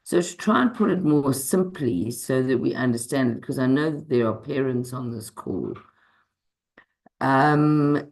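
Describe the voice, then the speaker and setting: A woman with a hoarse voice from a cold, giving a measured online medical lecture with frequent pauses and ums, heard through a computer microphone on a video call. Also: rumbling low, darkish tone, poor audio. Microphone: computer mic on a video call.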